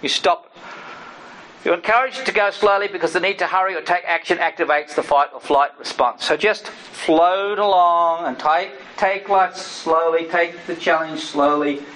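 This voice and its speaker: Guy preaching casual-like, kinda high voice, keeps saying right? to the listeners. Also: moderate pace, fairly bright tone, slight background noise, low-quality recording. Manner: conversational, checks in with listeners; personal asides and self-disclosure. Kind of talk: informal sermon teaching.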